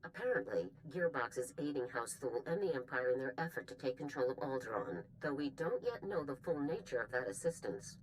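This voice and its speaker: An actress playing a stern, brisk military general, giving a formal, authoritative mission briefing in a video game. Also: female voice, very deep voice, big pitch swings, slight background noise, poor audio. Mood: businesslike briefing. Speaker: commanding officer.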